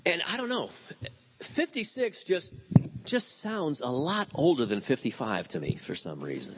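A man in his fifties preaching a sermon, animated and telling stories with quoted voices. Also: a little high, measured pace, husky. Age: 50s. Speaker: pastor.

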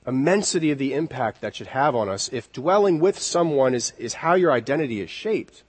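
A male preacher with a medium-pitched voice teaches from scripture. He is earnest and deliberate, leaning on repeated key words. Long hall reverb trails his speech. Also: husky. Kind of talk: expository sermon teaching.

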